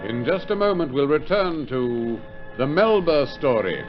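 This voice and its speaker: A male announcer presents a vintage radio serial. His delivery is very animated, over loud music on a muffled recording. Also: a little high, a little slow. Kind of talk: old radio drama announcing. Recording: muffled old broadcast under loud music.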